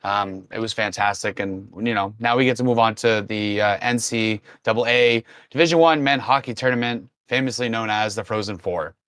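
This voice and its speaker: A man's voice: lowish voice, normal speed, hardly any pauses, a bit bright, clean tone, no room sound. A man who has lost his voice, co-hosting a casual sports podcast and rambling through a list of teams while correcting himself. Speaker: podcast co-host.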